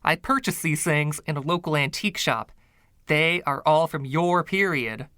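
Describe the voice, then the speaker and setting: A man's voice: on the high side, normal speed, pushed and bright, clear, resonant tone. A man voicing a mild, defensive music teacher in an audio story, plain-spoken and earnest. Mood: explaining.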